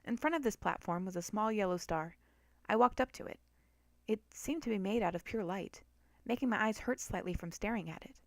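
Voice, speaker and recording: A woman reads first-person fiction aloud with expressive, dramatic delivery. Her voice sits at a medium pitch. The sound is dry and close, with no room around it. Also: fairly quick, dry recording. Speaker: narrator of a fan story.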